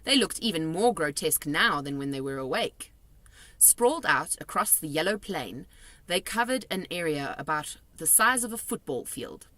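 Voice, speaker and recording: A woman narrates a children's story in a bright, animated voice. She phrases it dramatically and deliberately, and the sound is dry and close. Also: deep voice, no echo. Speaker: storybook reader. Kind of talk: expressive children's story narration, read aloud.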